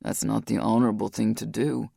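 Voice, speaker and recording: A woman voicing a loyal, tough man who speaks low, tender and resigned, in an audiobook. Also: flat delivery, a little fast, gravelly, mellow, breathy edge, no echo, clean studio sound, full character voice. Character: grave-voiced man, loyal tough ex-criminal.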